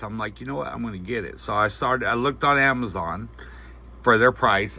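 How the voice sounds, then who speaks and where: A man's voice: medium pitch, pushed and bright. A man giving a casual, unscripted product review in a folksy, hesitant, rambling way.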